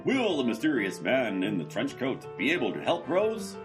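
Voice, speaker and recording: A man playing a melodramatic announcer of a comic radio serial, delivering rhetorical teasers loudly. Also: medium pitch, wildly swooping pitch, moderate pace, loud music behind the voice. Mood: mock suspense. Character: mock-dramatic male serial announcer. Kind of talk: old-time radio serial narration.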